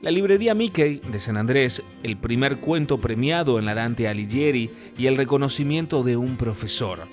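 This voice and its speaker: A man's voice: medium pitch, animated, moderate pace. A man with an Argentine accent reading a scripted profile narration as a voice-over, with guitar playing behind him. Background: guitar music.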